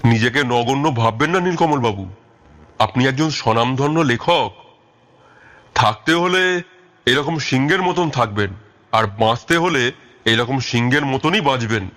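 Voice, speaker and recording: A man playing a confident, commanding detective in an audio story, speaking in a measured, wry way. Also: mid-range voice, fairly bright tone, close to an everyday voice. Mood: wry, assured. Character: calm, confident, commanding male Bengali detective. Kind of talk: audio story character acting.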